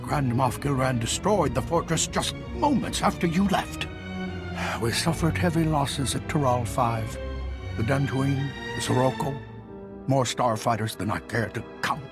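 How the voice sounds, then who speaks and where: An actor playing a determined Republic fleet commander in a video game, speaking with solemn authority. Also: male voice, medium pitch, a little slow, lightly acted. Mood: grave. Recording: music under the voice.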